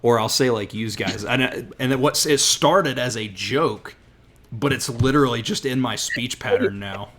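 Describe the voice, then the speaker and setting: A man from the southern United States hosts a casual podcast about languages. He talks in a rambling way, restarting phrases and laughing at himself. His voice sits at an ordinary mid pitch. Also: moderate pace, a bit bright. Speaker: podcast host. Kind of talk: casual chat.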